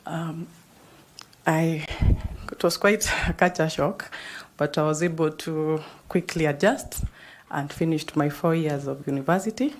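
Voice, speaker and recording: A Kenyan woman in her fifties with a Kenyan accent, answering questions in a formal interview with measured pauses and fillers. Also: sits low. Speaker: job candidate.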